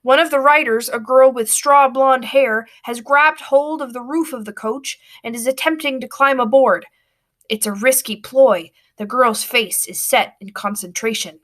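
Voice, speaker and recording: A woman reading a storybook aloud with expressive, dramatic narration. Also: sits high, unhurried.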